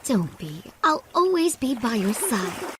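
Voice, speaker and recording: A high, lively voice for a devoted young storybook wife, acted by a woman. She speaks slowly and expressively in a children's tale, over faint noise. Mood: tender.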